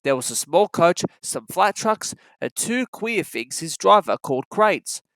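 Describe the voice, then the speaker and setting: A children's storybook narrator with a bright, forceful voice and very lively intonation. The reading is scripted and sounds close and dry. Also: mid-range voice, unhurried, dry recording.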